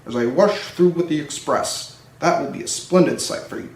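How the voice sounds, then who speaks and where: A man playing a big, proud, pompous steam engine from a children's storybook, with drawn-out complaining delivery. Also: a little high, lightly acted. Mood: boastful. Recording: roomy echo, distant microphone.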